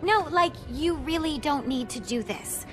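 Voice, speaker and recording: High-voiced young devil princess. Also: female voice, lively intonation, measured pace, music in the background. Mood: exasperated. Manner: casual, candid, girlish. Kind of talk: video game cutscene acting.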